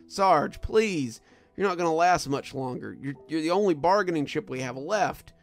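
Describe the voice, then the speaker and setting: Guy playing a scared negotiator begging in a horror story, urgent, voice pushed up high, raised. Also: expressive, normal speed, faint music behind. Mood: desperate pleading. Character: desperate, scared, bruised male negotiator pleading urgently. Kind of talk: horror story character dialogue.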